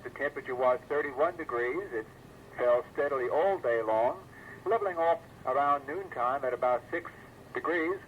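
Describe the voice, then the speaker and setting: A man's voice: mid-range voice, muffled recording, a little hiss or hum. A male announcer giving a scripted current conditions report on 1980s weather radio. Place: broadcast over weather radio.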